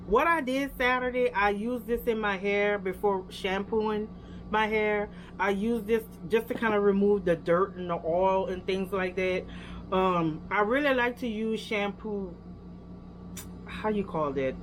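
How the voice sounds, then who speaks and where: A woman's voice: mid-range voice, a little hiss or hum, low-quality recording. A young woman with a Louisiana accent, casually reviewing hair products and chatting straight to her viewers with lots of fillers. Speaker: hair care vlogger.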